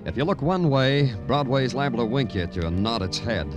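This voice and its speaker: A man's voice: mid-range voice, lively intonation, normal speed, lightly acted. An American man acting a terse, world-weary police detective in a hardboiled 1950s radio crime drama. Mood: wry, hardboiled. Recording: old radio drama with music behind.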